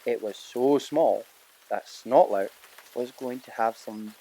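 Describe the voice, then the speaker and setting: A man narrating an audiobook in a dramatic storytelling manner, pausing for emphasis. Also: mid-range voice, dark tone, slightly rough, poor audio.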